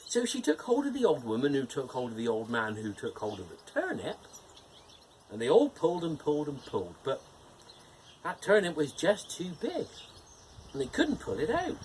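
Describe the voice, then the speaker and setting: A man's voice: fairly high pitch, moderate pace, a little hiss or hum. A man playing a cheerful, playful male gnome who hosts and reads a bedtime fairytale. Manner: animated, playful storytelling with teasing jokes. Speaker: storyteller host.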